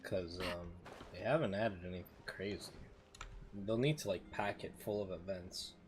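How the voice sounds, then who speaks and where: Low-voiced man doing casual game commentary. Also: moderate pace, noisy background. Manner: informal, thinking aloud. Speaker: streamer playing a video game.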